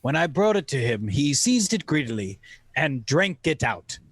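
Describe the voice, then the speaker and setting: A man's voice: fairly high pitch, sing-song, moderate pace, fairly bright tone, slightly rough, dry recording. A man narrating a radio play as a storyteller, in a dramatic, scripted style. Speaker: narrator actor.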